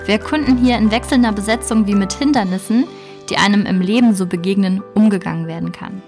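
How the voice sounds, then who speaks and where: A woman's voice: medium pitch, fast talker, a little mellow. Woman, early thirties, podcast host, casual chat, laughs often, faint music. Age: 30s. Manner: laughs often, asks reflective questions.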